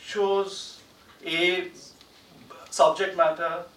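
A man's voice: high-pitched, little pitch movement, extremely slow, a bit bright. A middle-aged man speaking and reading as a poet at a public panel event. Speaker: poet, translator and book editor on a panel. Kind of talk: panel talk and poetry reading. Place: large indoor lecture theatre. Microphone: desk gooseneck microphone. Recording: roomy hall, distant mic, faint noise.

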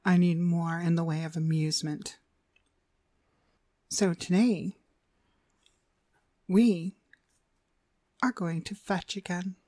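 A woman playing a cruel, domineering demonic dog trainer in an ASMR roleplay, haughty and scolding with drawn-out emphasis. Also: fairly low pitch, fairly even intonation, dark tone, no room sound, studio quality. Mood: cold contempt.

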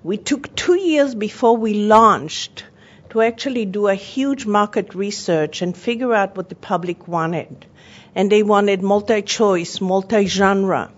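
A woman's voice: medium pitch, unhurried. A woman with a foreign accent, born in Israel and raised in Europe and Australia, giving an earnest, personal talk as a panelist and television network owner. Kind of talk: panel presentation. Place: conference room at a workshop. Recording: dull lo-fi conference audio with faint hum.

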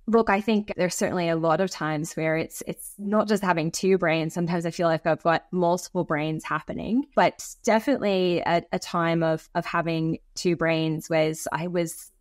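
An American woman in her thirties, a guest talking over a video call from a home office. She tells stories in a chatty, restarting way. Her voice sits a touch low and the sound is dry and close. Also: steady tone, normal speed, few pauses, no room sound. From United States. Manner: chatty storytelling, frequent restarts. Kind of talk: conversational interview.

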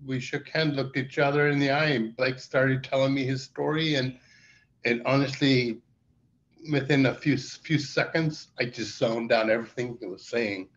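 A middle-aged man, telling stories in a relaxed, halting way full of fillers on a video call. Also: medium pitch. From Iceland. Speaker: documentary director. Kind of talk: casual interview answers. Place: indoor room with plain wall, video call. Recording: dull lo-fi video call audio.

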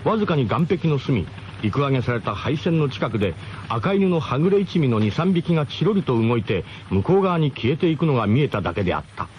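A man's voice: mid-range voice, very animated, a little fast, a little mellow, slight background noise. A man narrating a radio drama as a first-person comic story, in a mock-grand, theatrical way.

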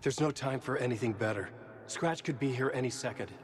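An actor playing a weary middle-aged male writer, narrating in a low, hushed, dark voice in a video game. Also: brisk, a little hiss or hum, lightly acted. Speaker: game protagonist. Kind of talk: dark literary voice-over narration. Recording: game score over the voice.

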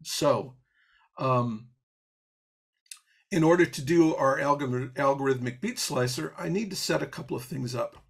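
A man's voice: on the high side, unhurried, vocal fry, long pauses, slightly rough. A man teaching an audio programming patch step by step on a video call, explaining as he goes with fillers and restarts. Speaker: software instructor. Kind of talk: live online coding demo.

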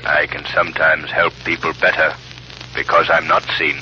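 An actor playing a mysterious invisible crime-fighter, calm and reassuring, through a radio filter in a 1930s drama. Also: male voice, very deep voice, normal speed, slight background noise, heavily acted. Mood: eerily reassuring. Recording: filtered, muffled vintage radio.

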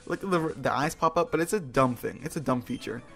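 A man's voice: medium pitch, fast talker, creaky, fairly bright tone, breathy edge, faint music behind. A man hosting a video game playthrough with casual, rambling, playful commentary, slangy and often repeating his phrases.

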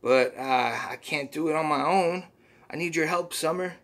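A man putting on a cheerful, folksy little poop creature in a top hat, chirping catchphrases in a raised, bright voice. He stays earnest and a bit silly, like a cartoon sidekick from a comic read aloud. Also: a little high, moderate pace, voice pushed up, natural voice. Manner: chirpy, folksy, says ooh-wee.

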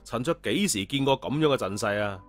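A male storyteller reads an audio tale in everyday colloquial language. His voice sits at a medium pitch, with music playing beneath it. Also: expressive, a little fast.

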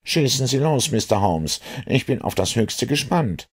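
A man's voice: medium pitch, very animated, unhurried, husky, pitched above the performer's own voice. A man voicing an eager, puzzled police inspector in an audiobook, earnest and questioning. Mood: curious, admiring.

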